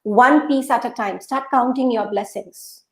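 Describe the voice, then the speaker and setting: A woman's voice: a little high, unhurried. A woman whose native language is Urdu gives conversational motivational advice as a podcast guest, in an emphatic, instructive way that repeats phrases for effect. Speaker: podcast guest, mindset coach. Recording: echoey room.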